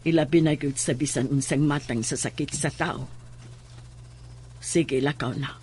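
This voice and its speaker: A woman playing a calm, curt, mysterious healer in a horror audio drama. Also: rumbling low, unhurried, a little hiss or hum. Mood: calm, commanding.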